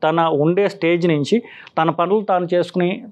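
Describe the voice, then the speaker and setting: A man speaking as a doctor, explaining haltingly and mixing in English medical terms. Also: sits high, fairly even intonation. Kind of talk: explaining a medical condition informally. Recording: slightly dull, lo-fi sound.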